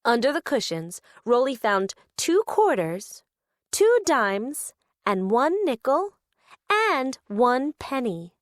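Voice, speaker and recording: A woman narrating a children's story in an expressive read-aloud style. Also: a little high, slow, no room sound, studio quality.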